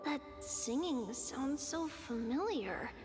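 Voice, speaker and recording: Tender girlish game character, very high voice, very slow, lively intonation, caring. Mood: tender, nostalgic. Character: gentle girlish young female singer, nostalgic and caring. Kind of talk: video game voice acting. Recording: long reverb, faint music behind.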